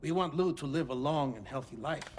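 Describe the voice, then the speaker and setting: A man acting an earnest, higher-voiced game scientist. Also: lively intonation, slight background noise, lightly acted. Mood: tender. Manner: deliberate, formal, explanatory. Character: earnest, gentle middle-aged male scientist confiding in a friend. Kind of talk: cinematic video game acting. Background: music.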